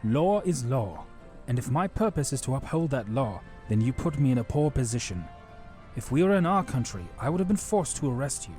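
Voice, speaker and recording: A man's voice: mid-range voice, very animated, fairly quick, darkish tone, faint music behind, voice pushed up, lightly acted. A man voicing a principled, dignified knight in a fantasy audiobook, speaking in a stern, formal and earnest manner. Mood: scolding. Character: dignified, principled lawful knight, scolding but courteous.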